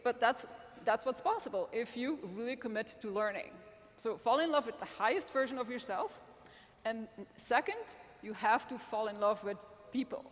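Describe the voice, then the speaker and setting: A woman's voice: on the high side, lively intonation, moderate pace. A middle-aged woman from Belgium, speaking English as a later-learned language, giving an earnest talk to an audience with frequent restarts. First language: not English. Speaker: magazine editor-in-chief speaking at a summit. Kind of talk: conference talk to a live audience. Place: large event hall. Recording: long hall reverb.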